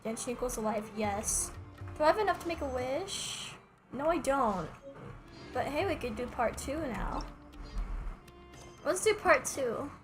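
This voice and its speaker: A woman streaming a game with casual commentary in offhand muttered asides. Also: high-pitched.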